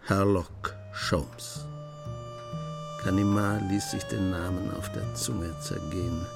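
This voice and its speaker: An actor playing a writer who narrates a radio play in a dramatic, intimate manner, savouring his pauses. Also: low-pitched, fairly even intonation, creaky, loud music behind the voice, exaggerated voice. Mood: brooding, suspenseful. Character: male writer-narrator, absorbed admirer of a gentleman thief.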